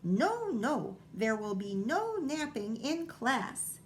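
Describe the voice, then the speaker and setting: A woman's voice: on the high side, big pitch swings, slow, slight background noise, pitched above the performer's own voice. A woman voicing an eager, bossy little female bird schoolteacher in a storybook, exclaiming and insisting. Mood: insistent. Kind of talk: storybook read-aloud character voice.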